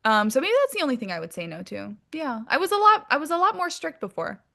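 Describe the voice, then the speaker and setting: A woman's voice: high voice, sing-song, fast, gravelly, pushed and bright. A woman chatting casually as an interview guest, candid and very informal in her wording. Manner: candid, informal, peppered with "like", mild swearing. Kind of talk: casual chat.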